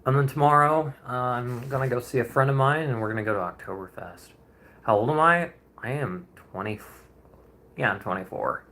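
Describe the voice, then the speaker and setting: A man's voice: medium pitch, normal speed, fairly bright tone. A man in his twenties, a streamer chatting casually and rambling with viewers during a painting livestream, chuckling often. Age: 20s.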